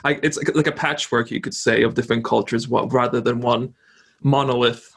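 Gravelly-voiced young man, halting. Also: medium pitch, steady tone. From Poland. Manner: hesitant, frequent restarts. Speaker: guest. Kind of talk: casual conversation.

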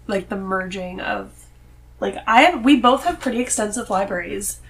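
A woman's voice: medium pitch, expressive, moderate pace, a bit bright, low-quality recording. A woman in her thirties chatting casually as a guest on a podcast. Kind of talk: casual chat about books.

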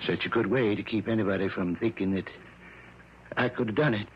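An actor playing a remorseful old fishing captain with rustic, halting speech in a 1960s radio drama. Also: male voice, fairly low pitch, close to an everyday voice. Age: older. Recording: muffled, noisy vintage radio recording.

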